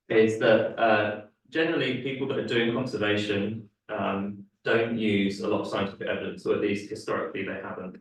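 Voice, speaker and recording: A young man delivers a seminar talk in a low, flat voice, stopping often on fillers and restarts. His voice is a little worn, as if he is losing it. He sounds far from the mic in a very echoey room. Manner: hesitant, many fillers and restarts. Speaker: guest speaker. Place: lecture room.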